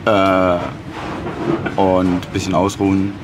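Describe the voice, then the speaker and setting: A man's voice: low voice, monotone, takes it slowly. A man in his thirties chatting casually to a handheld camera in short, wry remarks as a fitness vlogger. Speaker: fitness vlogger and bodybuilding competitor. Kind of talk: casual vlog chat to camera. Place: on the move: train, taxi, street, hotel, gym. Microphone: camera at arm's length. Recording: noisy handheld camera, loud ambient noise.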